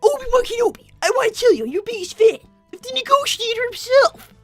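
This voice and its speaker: A woman's voice: extremely high pitch, expressive, fast talker, dry recording, faint music behind. A woman voicing a smug five-year-old boy fox, a scheming little genius with pompous, formal speech, in improvised livestream roleplay. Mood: gleeful, smug.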